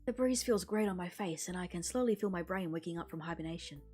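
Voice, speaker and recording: A woman's voice: fairly low pitch, fast, darkish tone, breathy edge. A woman streamer casually narrating a visual novel's script aloud. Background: music.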